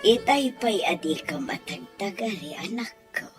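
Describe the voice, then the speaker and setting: A woman playing a gentle, concerned elderly mother and grandmother in an audio story drama, with a husky, breathy voice. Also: medium pitch, animated, measured pace, over music, close to an everyday voice. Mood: gently concerned.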